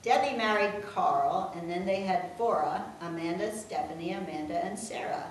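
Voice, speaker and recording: Older woman, unhurried sing-song verse reading, very reverberant room, distant microphone. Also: lowish voice. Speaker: family member reading her own poem. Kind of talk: reading a rhyming tribute poem aloud, with informal asides. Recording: echoey room, distant mic, faint noise.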